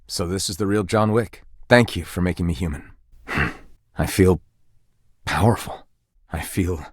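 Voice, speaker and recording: A male actor playing a jaded, brooding, ordinary man in a video game, casual, weary and sardonic, with a low husky voice. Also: a bit bright, dry recording, studio quality. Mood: brooding, deadpan. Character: jaded, brooding, foul-mouthed ordinary man, the candelabra's gruff alter ego. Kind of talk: video game character acting.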